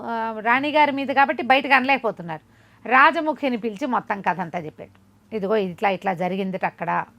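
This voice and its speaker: A woman telling a moral folk tale as an oral storyteller, conversational and expressive, repeating phrases for emphasis and acting out the dialogue. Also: on the high side.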